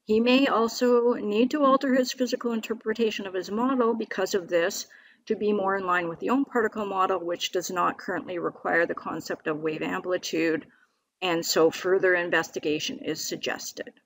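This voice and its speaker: A woman's voice: medium pitch, fairly even intonation, moderate pace. A woman with a scratchy voice from a cold, explaining physics equations on screen in a hesitant way, with many fillers and restarts. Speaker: independent physics video maker. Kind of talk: walking through equations on screen.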